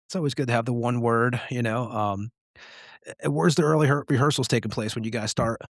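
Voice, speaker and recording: A man's voice: medium pitch, steady tone, brisk, no echo. Dry-recorded man, casual podcast interviewer.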